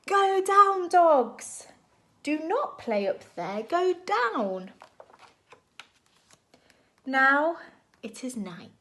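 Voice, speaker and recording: A woman reading a picture book aloud to young children in an expressive, deliberate storytime style. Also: high-pitched. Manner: expressive, animated, deliberate phrasing for small children.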